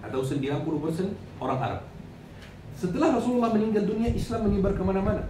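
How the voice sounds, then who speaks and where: A man with an Arabic accent, a native Arabic speaker, giving an Islamic lecture in a didactic way, repeating phrases and answering his own questions. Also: a little high. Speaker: Islamic preacher. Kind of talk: religious lecture, teaching with questions to listeners. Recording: echoey, noisy room, distant microphone.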